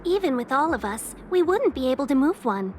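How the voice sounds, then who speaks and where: A woman voicing a polite, playful pilot guide in a video game, courteous and measured as she gently coaxes. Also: high-pitched, very animated, slight background noise. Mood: coaxing, encouraging. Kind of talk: scripted game dialogue.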